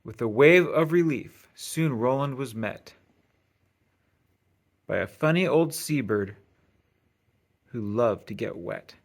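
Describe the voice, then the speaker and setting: A man's voice: medium pitch, expressive, slow pace, creaky, pauses often. Man reading a children's storybook aloud. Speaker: storybook reader. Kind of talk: expressive children's read-aloud narration.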